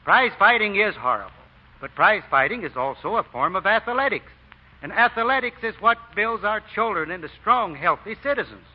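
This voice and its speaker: Sly, preachy husky deacon. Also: male voice, high voice, big pitch swings, normal speed. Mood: rousing, preachy. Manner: preachy, folksy, oratorical. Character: folksy, smooth-talking deacon, a sly card sharp. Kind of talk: 1930s-40s radio acting. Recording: muffled old radio broadcast.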